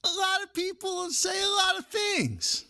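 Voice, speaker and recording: A man's voice: very high-pitched, very animated, unhurried, creaky, bright, forceful tone, exaggerated voice. A man playing a comic, evasive president who talks like a casual, defensive slacker in an interview. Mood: whiny, defensive. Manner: casual, defensive, drawling dude talk. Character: evasive, whiny, menacing slacker version of a male president. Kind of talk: improvised-sounding comic interview acting.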